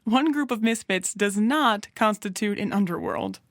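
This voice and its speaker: A woman's voice: mid-range voice, animated, normal speed, dry recording, studio quality, natural voice. A woman playing a bold, flippant pony novelist in an audio drama, speaking in a teasing, wry way.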